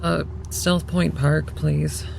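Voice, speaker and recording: A man's voice: fairly high pitch, little pitch movement, slow pace, gravelly, a little mellow, a little hiss or hum, heavily acted. A man playing a guarded, evasive young woman in an audio drama, hesitant and polite in the way of talking.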